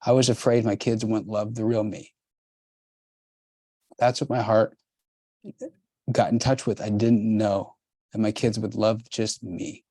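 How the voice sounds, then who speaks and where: A man's voice: lowish voice, little pitch movement, a little slow, pauses often, warm tone, dry recording. A middle-aged man hosting a workshop, coaching the group in an emphatic, urging way with lots of repetition.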